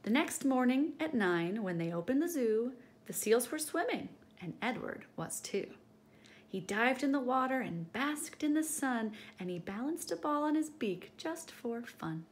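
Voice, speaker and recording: High, animated woman reading to children. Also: a little slow, slight background noise. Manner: expressive, addressing young children. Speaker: storytime reader, teacher. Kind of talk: children's picture book read-aloud.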